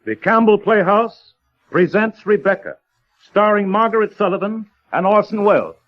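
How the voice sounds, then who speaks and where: Old-time radio announcer, male, high voice, formal projected delivery, dull vintage broadcast sound. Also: animated, normal speed, faint music behind. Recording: muffled 1930s radio broadcast.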